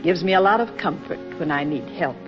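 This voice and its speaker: An actress playing a motherly, gently consoling older female friend in a 1940s radio drama, speaking expressively. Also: on the low side, animated, brisk, close to an everyday voice. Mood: kindly. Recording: muffled vintage radio recording with music.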